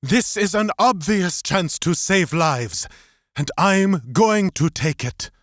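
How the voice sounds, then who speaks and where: A man playing an earnest, resolute idealist, deliberately paced. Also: high voice, animated, a bit bright, no echo, studio quality, pitched above the performer's own voice, exaggerated voice. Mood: solemn, determined. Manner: earnest, determined, halting. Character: earnest, resolute young idealist defying his superiors. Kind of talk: audiobook character acting.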